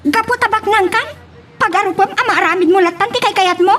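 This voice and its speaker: A woman's voice: very high-pitched, fast, fairly bright tone. A woman acting a feisty young daughter and sweetheart in an Ilocano romance drama, with an Ilocano accent. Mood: indignant, defiant.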